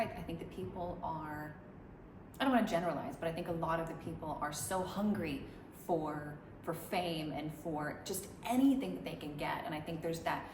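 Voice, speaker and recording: Give me a chatty woman with a fairly low voice, rambling in a noisy room. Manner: chatty, rambling answers with many restarts. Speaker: musician interviewee. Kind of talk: casual chat. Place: room in Dubai. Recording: echoey room with background noise.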